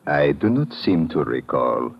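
An actor playing a pompous, serene fake mystic in a 1950s radio drama, solemn and grand, with a gravelly voice. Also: male voice, rumbling low, big pitch swings, a little slow, full character voice. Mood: lofty, serene. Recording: muffled, noisy vintage radio recording.

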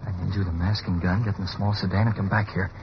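An actor playing a cool, decisive publisher and secret vigilante in an old-time radio drama, clipped and commanding. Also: male voice, deep voice, flat delivery, quick pace. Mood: urgent. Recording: muffled, hissy old radio recording.

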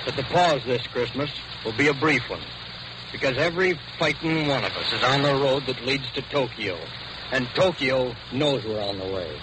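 Young American Marine on noisy wartime radio. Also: male voice, mid-range voice, moderate pace. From Wyoming, United States. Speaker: US Marine private. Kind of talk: scripted 1940s radio address. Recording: noisy vintage 1940s shortwave radio broadcast.

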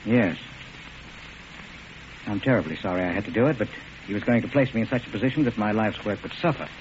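An actor playing a polite, coolly murderous doctor obsessed with research, speaking with genteel precision in an old radio drama. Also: male voice, lowish voice, quick pace, close to an everyday voice. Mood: regretful. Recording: muffled, noisy old radio recording.

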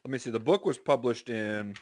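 A man's voice: medium pitch, fairly quick, darkish tone, no room sound. A man reading aloud as a podcast co-host, informal and profane, breaking into casual banter.

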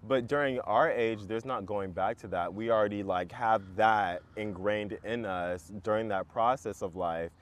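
Easygoing young male host, low voice. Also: normal speed, hardly any pauses. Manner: easygoing, frequent murmured backchannels. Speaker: podcast host. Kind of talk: casual conversation.

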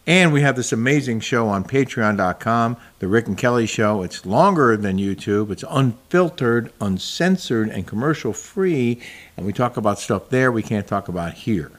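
A man's voice: medium pitch, lively intonation, normal speed. A middle-aged man hosting a casual chat show, plugging his channel and reading out viewer comments, laughing often. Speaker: show host.

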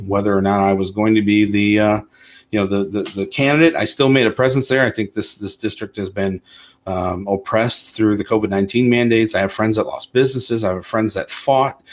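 A man from Toledo, talking in a rather low voice as he answers interview questions. He rambles casually, restarting sentences and leaning on "you know" and "um." He sounds like a candidate pitching himself. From Toledo, Ohio. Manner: rambling, frequent fillers and restarts. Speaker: congressional candidate.

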